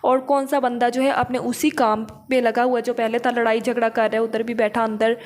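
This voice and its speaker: A woman's voice: on the high side, level tone, moderate pace, a little hiss or hum. A woman gives an explanatory law lecture in a didactic way, often checking in with short tag questions. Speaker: law teacher. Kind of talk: explanatory lecture, reading English legal text then explaining it.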